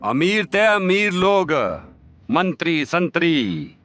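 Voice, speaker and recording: A man's voice: high voice, very animated, slow pace, fairly bright tone, soft background music, exaggerated voice. A man with a Haryanvi accent playing an arrogant, bullying rich diamond merchant in an audio drama. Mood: arrogant, outraged.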